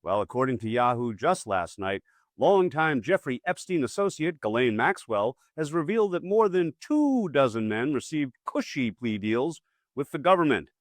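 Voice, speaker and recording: Wry male political commentator, animated pitch. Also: dry recording, clean studio sound. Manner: wry, punchy, colloquial asides. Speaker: host.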